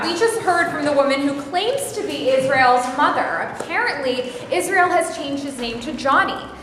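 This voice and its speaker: A woman reading the part of a brisk TV news reporter at a courthouse in a staged reading of a play, with an announcer-like delivery. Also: sits high, fairly bright tone. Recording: echoey hall, distant mic, faint noise.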